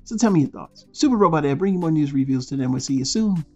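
A man's voice: on the high side, animated, fast, mellow, muffled recording, faint music behind. A man hosting a toy news channel, speaking as a blunt, slangy, rambling voice-over over still images. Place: voice-over, not in pictured place.

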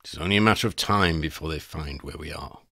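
A man voicing a cautious, calculating male dark wizard in an audiobook reading. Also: a bass voice, fast talker, exaggerated voice. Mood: wary. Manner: wary, calculating.

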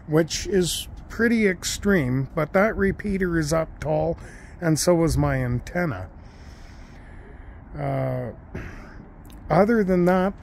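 A man giving an unscripted, matter-of-fact and halting demonstration as a hobbyist host, recorded outdoors on a handheld device. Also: on the high side, a little mellow, a little hiss or hum. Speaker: amateur radio hobbyist and video host. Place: outdoors in a backyard and deck.

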